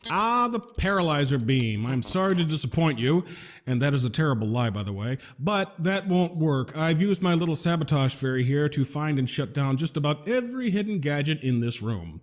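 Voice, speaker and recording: An actor playing a flippant, verbose gentleman genius in a comedic audio drama, glib and mock-polite with stammering asides. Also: a little high, very animated, moderate pace. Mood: glib, chatty.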